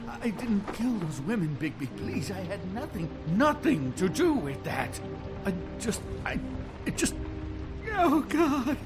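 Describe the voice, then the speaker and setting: Desperate cowardly official in a game, stammers and begs, voice swings wildly high to low, music behind. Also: male voice, sing-song, a little slow. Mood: abject, pleading. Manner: stammering, pleading. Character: cowardly, desperate middle-aged male embezzling official. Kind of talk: dramatic video game acting. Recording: music under the voice.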